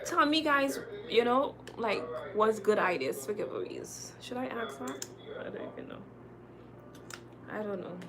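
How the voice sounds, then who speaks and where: A woman's voice: a little high, unhurried, crowd noise. Chatty Trinidadian woman on a livestream. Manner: chatty and lively, repeating phrases, calling viewers girl and sis. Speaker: makeup artist hosting a livestream. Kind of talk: casual livestream chat reading comments.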